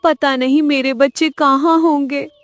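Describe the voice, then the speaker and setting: A woman's voice: high-pitched, fairly even intonation, measured pace, dry recording, soft background music. A woman playing an anxious, tender mother goat in a cartoon fable, with an earnest, pleading manner. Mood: worried, pleading. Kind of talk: Hindi cartoon story acting.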